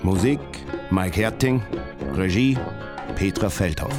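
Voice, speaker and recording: A man's voice: low voice, takes it slowly, music in the background. A man reading formal scripted radio drama credits as an announcer.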